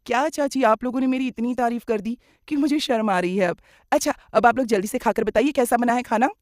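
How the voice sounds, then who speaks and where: A woman's voice: fairly high pitch, a little fast, a little mellow, no echo, clean studio sound, pitched above the performer's own voice, lightly acted. A woman voicing a cheerful, eager young daughter-in-law who gushes and insists, in a moral cartoon story. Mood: bashful, pleased. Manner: gushing, insistent.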